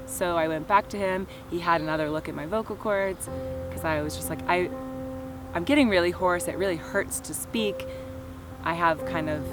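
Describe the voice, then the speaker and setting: A young woman talks through her own story in a relaxed, chatty way, leaning on filler words and restarting her sentences. Her voice sits a little low for a woman. Loud music plays underneath her the whole time. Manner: casual, filler-heavy, lots of "like" and "just". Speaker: vlogger. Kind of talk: personal storytelling to camera.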